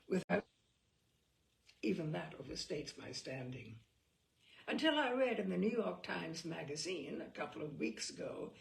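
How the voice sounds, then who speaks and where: Gravelly, wryly expressive woman reader. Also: medium pitch, reverberant. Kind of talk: reading humorous essays aloud.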